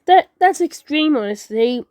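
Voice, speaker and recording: A woman with a fairly high voice delivers a homemade emergency announcement in a close, dry sound. She rambles through it, stumbling over phrases, repeating them and correcting herself as she goes. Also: expressive, a little slow, a little mellow, no echo. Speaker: creator and performer of a fan emergency-alert scenario. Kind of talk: improvised amateur disaster broadcast narration.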